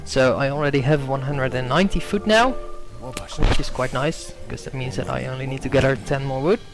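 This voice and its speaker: A young man whose first language is Dutch talks through his own strategy game match in a gravelly voice, with clear music playing underneath. He hesitates often, fills gaps with ums and corrects himself mid-sentence. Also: medium pitch, moderate pace. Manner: hesitant, many fillers and self-corrections. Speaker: gameplay commentator. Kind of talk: casual gameplay commentary.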